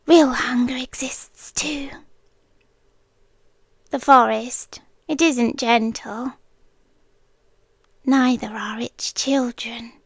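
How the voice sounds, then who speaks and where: Woman voicing a slow, high-pitched British monster girl. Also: fairly even intonation, breathy edge, dry recording, heavily acted. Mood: wistful, tender. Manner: teasing, intimate, often dropping into whispers. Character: playful, tender, possessive young female forest monster girl. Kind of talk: roleplay ASMR.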